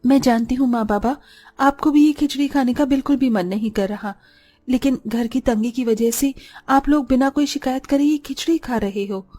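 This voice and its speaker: A woman playing a caring, soft-spoken young eldest daughter in a Hindi cartoon story, earnest and polite. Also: high voice, normal speed, faint music behind. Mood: gentle, apologetic.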